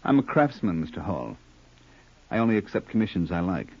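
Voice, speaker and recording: An American actor playing a cool, cultured hired killer in an old radio crime drama, unhurried, musing and dryly polite. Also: male voice, sits low, sing-song, breathy edge, heavily acted. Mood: aloof, musing. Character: cool, cultured hired killer who loves classical music. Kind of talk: 1940s-50s radio crime drama acting. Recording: dull old-time radio recording.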